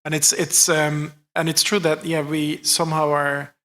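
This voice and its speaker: A man's voice: a little high, level tone, a little slow, pushed and bright. A man on a conference panel, speaking thoughtfully and building up his points as he goes. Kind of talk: panel discussion.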